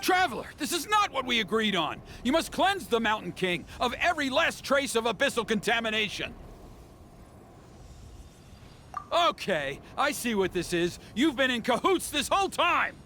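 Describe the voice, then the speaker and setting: A scheming male elder in a video game, high-pitched for a man and wildly lively in his rises and falls. His tone is bright and sharp, moving between formal ceremony and fanatical zeal. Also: normal speed, hardly any pauses, slight background noise, heavily acted. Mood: indignant. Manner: formal, then fanatical with laughter.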